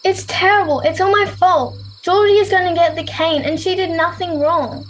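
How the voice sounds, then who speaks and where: High, plaintive schoolgirl, acted by a girl, noisy line. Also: normal speed. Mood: upset, remorseful. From Australia. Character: frightened, remorseful, naughty boarding-school girl. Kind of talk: amateur children's radio play acting. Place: at home over a video call. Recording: noisy home video-call recording.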